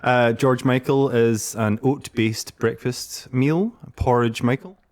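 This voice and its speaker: A man's voice: medium pitch, steady tone, takes it slowly, darkish tone. A man trading casual banter as a podcast panelist.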